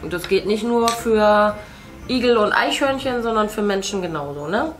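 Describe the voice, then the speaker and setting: Middle-aged woman, casual craft-table chat, mid pitch, background music. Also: animated, normal speed, low-quality recording. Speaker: host, mother. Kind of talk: casual chat while painting. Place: indoor room at a craft table.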